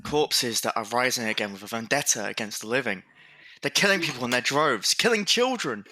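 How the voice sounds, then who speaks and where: A man playing a grim, blunt young Victorian son, earnest and forceful, in a period audio drama. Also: mid-range voice, expressive, fairly quick, a little hiss or hum, low-quality recording. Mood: grim, insistent.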